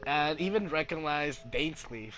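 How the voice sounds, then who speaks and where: A man's voice: fairly high pitch, lively intonation, unhurried, pushed and bright, poor audio. A man giving casual playthrough commentary, hesitant, thinking aloud and repeating himself. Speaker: gaming video host. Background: game music.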